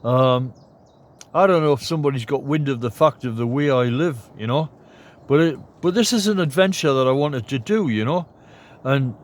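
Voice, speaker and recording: A man in his seventies talking casually to camera in a rambling, chatty vlog, clearing his throat now and then, inside a large cabin. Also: medium pitch, lively intonation, moderate pace. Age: 70s. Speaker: vlogger.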